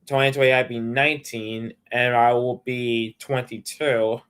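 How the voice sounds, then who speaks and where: A man's voice: mid-range voice, level tone, a little slow, a bit bright, smooth. A young man chatting casually on his livestream, rambling and restarting his sentences. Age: young adult.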